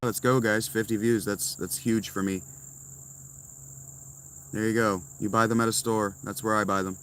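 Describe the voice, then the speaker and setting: Bright-voiced sarcastic man streaming from a tent. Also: fairly low pitch, steady tone, normal speed, a little hiss or hum. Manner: blunt, sarcastic replies to chat. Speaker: live streamer answering chat. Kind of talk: casual livestream chat. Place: inside a tent.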